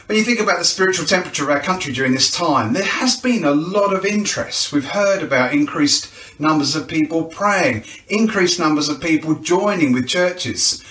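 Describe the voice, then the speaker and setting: A man's voice: on the high side, expressive, normal speed, few pauses, a bit bright, recorded from a distance. A man giving an informal, chatty announcement for a church event, with rhetorical questions and a self-deprecating touch. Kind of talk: informal promotional video announcement. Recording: echoey, roomy sound.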